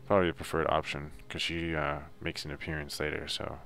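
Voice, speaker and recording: A man's voice: very deep voice, flat delivery, a little fast, vocal fry, fairly bright tone. A man giving a casual, unscripted video game walkthrough in a loose, hesitant, laid-back way. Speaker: video game guide narrator.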